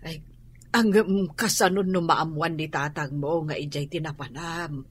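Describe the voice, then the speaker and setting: A woman's voice: deep voice, normal speed, slightly rough. A woman with an Ilocano accent acting a worried, protective mother, fretful and sighing, in a radio drama. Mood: soft, anxious. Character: worried, protective mother standing up for her child.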